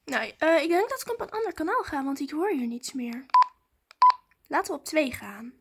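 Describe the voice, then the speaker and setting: A woman, chatting casually and excitedly to viewers as a vlogger. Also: sits high, moderate pace, vocal fry, very noisy.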